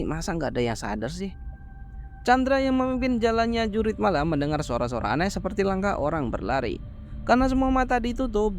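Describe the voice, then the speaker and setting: A man narrating a horror film recap in a casual, brisk way, dropping slangy joking asides between plot beats. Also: sits high, a little hiss or hum.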